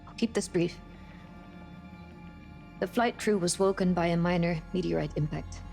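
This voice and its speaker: Space officer, low n croaky fry, brisk serious briefing, game cutscene. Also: female voice, darkish tone, faint music behind. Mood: grave, businesslike. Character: brisk, grave female ship's officer giving a briefing. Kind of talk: cinematic game acting.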